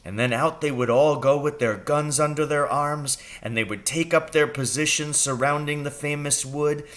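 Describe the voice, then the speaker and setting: A man voicing a scheming, excitable father from a children's storybook, with a theatrical, intense delivery. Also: a little high, normal speed, pitched above the performer's own voice, natural voice. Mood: gleeful, grand. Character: scheming, excitable father, conspiratorial poacher with a grudge. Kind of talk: children's audiobook character reading.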